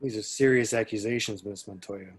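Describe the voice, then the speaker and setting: A man's voice: on the low side, brisk, husky. A man playing a stern, skeptical police captain in an amateur table read. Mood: stern, dismissive.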